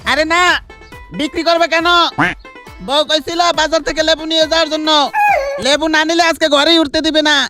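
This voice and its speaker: A man's voice: very high-pitched, level tone, fairly quick, pauses often, bright, forceful tone, soft background music. A man voicing a naive, flustered working-class husband in a comic cartoon, with a Bangladeshi accent.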